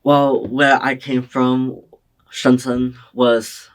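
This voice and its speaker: A young man from China answering oral history interview questions in a slow, hesitant, soft-spoken way. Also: medium pitch, little pitch movement. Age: young adult. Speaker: interviewee, community college student.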